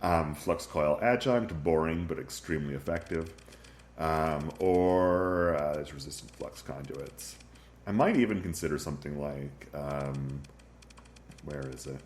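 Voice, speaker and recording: Casting note: very low-voiced man, relaxed um-filled game commentary, slightly sniffly, roomy echo. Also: a little slow. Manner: relaxed, frequent ums, thinking aloud. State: sniffly from recovering flu. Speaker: game tutorial narrator. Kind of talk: casual gameplay commentary.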